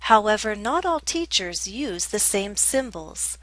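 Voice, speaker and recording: An American woman teaching English pronunciation, speaking in a measured, clear way with a General American accent. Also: medium pitch, very animated, fairly bright tone, no echo, poor audio. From United States. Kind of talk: scripted lesson narration.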